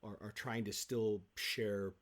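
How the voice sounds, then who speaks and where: A man speaking casually as an interview guest on a video call, in a halting, rambling, animated way. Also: on the low side. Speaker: guest, stage actor. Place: home office, on a video call. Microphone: video call microphone.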